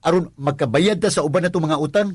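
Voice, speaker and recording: A harried Filipino husband in a radio drama, speaking Cebuano-accented lines. His voice is fairly high and husky, and he stammers and exclaims as he frets. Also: quick pace, no echo, clean studio sound. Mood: exasperated, worried. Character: flustered Filipino husband and condo guard, worried about money. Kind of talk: Cebuano radio drama acting with narrated asides.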